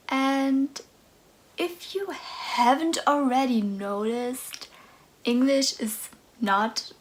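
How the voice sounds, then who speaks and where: A woman in her twenties from Austria, with a German accent, talking nervously and hesitantly in a casual book vlog. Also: sits high. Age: 20s. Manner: nervous, hesitant, soft-spoken. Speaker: book vlogger.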